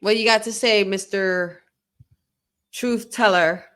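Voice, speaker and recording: A woman co-hosting a wrestling watch-along, talking in an excitable, chatty fan style with lots of "like". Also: mid-range voice, takes it slowly. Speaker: podcast co-host. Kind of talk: casual watch-along commentary.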